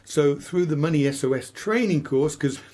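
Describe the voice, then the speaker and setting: A man's voice: on the high side, moderate pace, a little mellow. A man in a conversational radio discussion, speaking as a money trainer with an enthusiastic, interjecting manner.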